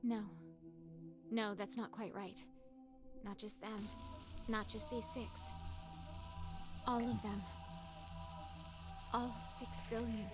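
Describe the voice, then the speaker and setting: An actress voicing a grave, desperate young woman captive in a dramatic, halting video game performance. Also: a little high, flat delivery. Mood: numb, grieving. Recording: loud music behind the voice.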